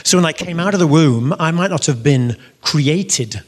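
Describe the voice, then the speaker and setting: A man giving an animated faith testimony to an audience, telling his story with bursts of loud emphasis. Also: a little high, moderate pace. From half Syrian. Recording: long reverb of a hall.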